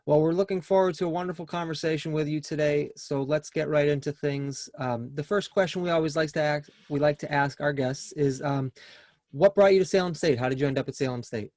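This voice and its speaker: A man's voice: fairly high pitch, brisk, darkish tone, no echo. A man hosting a university alumni podcast, opening with a scripted welcome and asking friendly questions. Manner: friendly, scripted introduction, then loose questions. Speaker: podcast host.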